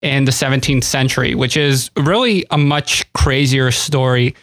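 A male podcast host opens the show and sets out a history topic in a brisk, well-organized way. His voice is recorded dry and close, with no room sound. His pitch sits mid-range. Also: a bit bright, no echo. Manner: brisk, organized, lightly scripted. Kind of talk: podcast introduction and history talk.

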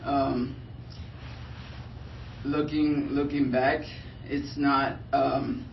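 A man's voice: medium pitch, flat delivery, extremely slow, vocal fry. A young man from Liberia, a pro soccer player, answering press questions hesitantly with many fillers. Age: young adult. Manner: hesitant, filler-heavy, soft-spoken. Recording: roomy, distant, muffled, light noise.

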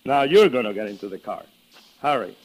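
An actor playing a sly, boastful ventriloquist, teasing and mock-polite in an old radio mystery. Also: male voice, medium pitch, animated, brisk, natural voice, rough recording. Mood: sly, menacing. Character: sly, theatrical Mexican ventriloquist, playful and boastful. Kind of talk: 1940s radio mystery acting. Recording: old radio recording, faint noise.

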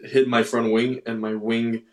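A young man, a racing driver from Ecuador raised in Miami, talking casually in an interview with measured, halting phrasing. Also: medium pitch, level tone, echoey room. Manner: measured, halting with fillers and restarts.

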